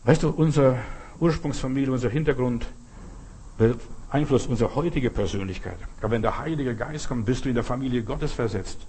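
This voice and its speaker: Husky southern German man preaching. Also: mid-range voice, normal speed, a little mellow. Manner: colloquial, direct address with frequent check-in questions and repetition for emphasis. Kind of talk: informal sermon to a congregation.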